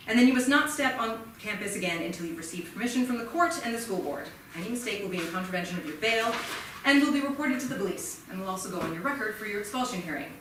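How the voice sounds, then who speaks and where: Stern unyielding female school principal played by a woman, officious, measured, stage reading, far from mic, faint noise. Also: mid-range voice, a bit bright, natural voice. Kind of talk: live staged play reading. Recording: echoey theatre, distant mic, faint noise.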